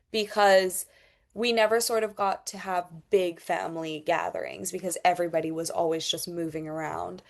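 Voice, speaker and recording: A woman's voice: lowish voice, vocal fry. Young woman with a mixed international accent. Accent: mixed international, elongated vowels from Thai. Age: young adult. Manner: thoughtful, frequent "um" and "I would say". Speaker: podcast guest, international student. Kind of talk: reflective interview answers.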